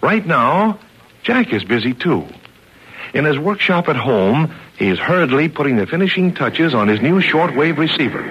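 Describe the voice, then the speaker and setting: A man works as an old-time radio announcer, reading a script in a chummy, emphatic way. His voice sits high for a man. The recording is dull and lo-fi. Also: very animated, normal speed, a little hiss or hum. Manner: chummy, emphatic sales patter.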